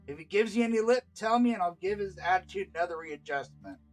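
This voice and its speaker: A man's voice: high-pitched, expressive, fast talker, soft background music, voice pushed up, poor audio. A man voicing a jovial, tough dwarf woman with a cheerfully threatening, folksy way of talking. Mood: jovial, teasing.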